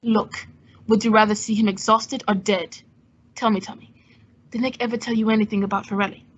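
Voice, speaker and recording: A woman playing a cool, quick-witted male adventurer and sleuth in an old-time radio drama. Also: mid-range voice, fairly even intonation, a little hiss or hum, natural voice. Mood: pressing, urgent. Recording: dull lo-fi audio.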